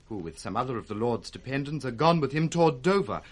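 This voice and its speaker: A male actor plays a dutiful steward in a classical radio play. His mid-pitched voice moves in lively swings as he formally delivers his report. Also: fast talker, lightly acted. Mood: dutiful, urgent. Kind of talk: 1960s Shakespearean radio acting.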